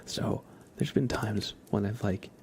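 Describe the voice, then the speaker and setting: Hushed, low, creaky-voiced man. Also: measured pace, a little hiss or hum. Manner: gentle, hushed delivery, often dropping into whispers. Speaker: ASMR livestream host. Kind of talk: soft-spoken ASMR livestream chat.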